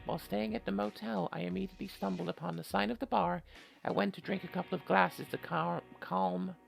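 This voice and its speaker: A player reads as an earnest, uneasy young woman telling her own mystery story in a visual novel. The voice is very creaky and gravelly, with faint music underneath. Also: mid-range voice, steady tone, brisk, low-quality recording. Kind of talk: scripted first-person visual novel narration.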